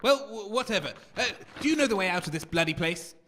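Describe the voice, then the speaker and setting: An actor playing a grumpy, anxious radio co-host in a comic audio drama, fretful, complaining and dramatic. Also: male voice, high-pitched, lively intonation, quick pace, bright, forceful tone, exaggerated voice. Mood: bitter, blaming.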